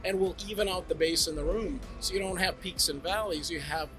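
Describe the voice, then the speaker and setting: A man giving an eager sales pitch as a brand representative, demonstrating home theater gear in a trade show demo room. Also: brisk, over music. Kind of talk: product demo talk.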